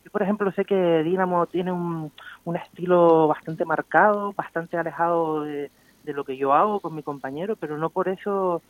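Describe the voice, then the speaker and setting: A man's voice: a little high, fairly even intonation, normal speed, no echo. A man with a slightly raised voice talks through a dull, lo-fi phone line. He is a guest on a radio interview, speaking reflectively and often ending his points with a question to his listener. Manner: thoughtful, with frequent tag questions. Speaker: guest graffiti artist.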